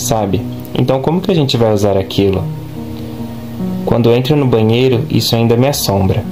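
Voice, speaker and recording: A man reading a personal testimony as a plain, even voice-over. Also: medium pitch, low-quality recording. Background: guitar music.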